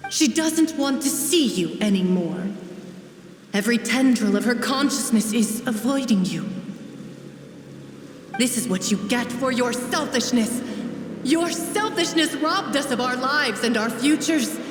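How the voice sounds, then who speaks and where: Game casting: merged ghost of wronged women, measured and accusing, distant in long reverb. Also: female voice, on the high side, animated, slight background noise. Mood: cold, solemn. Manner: slow, measured, accusatory speech. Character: merged spirit of murdered women, sorrowful, accusing. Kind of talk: dramatic video game voice acting.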